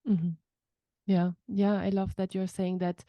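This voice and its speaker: A woman's voice: medium pitch, level tone, unhurried, no echo, lo-fi sound. A woman hosting an interview podcast, supportive and asking long, searching questions. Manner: supportive, frequent affirmations. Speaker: podcast host. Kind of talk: conversational interview.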